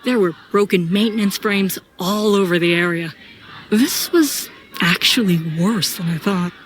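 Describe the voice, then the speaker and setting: A woman's voice: medium pitch, unhurried, natural voice. A woman narrating a sci-fi audio drama in first person as a troubled, brooding soldier. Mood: tense recounting. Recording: clear background noise and ambience.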